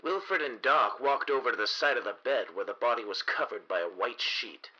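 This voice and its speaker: A man giving scripted narration for a 1930s-style radio mystery, telling the story dramatically with deliberate pauses. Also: medium pitch, expressive, pushed and bright, dull audio.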